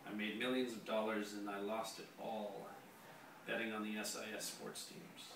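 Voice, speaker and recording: A hoarse man, a teacher being interviewed, answering casually with many pauses and the odd dry joke. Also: lowish voice, flat delivery. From Canada. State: hoarse, losing his voice. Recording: very echoey room, distant mic, noisy.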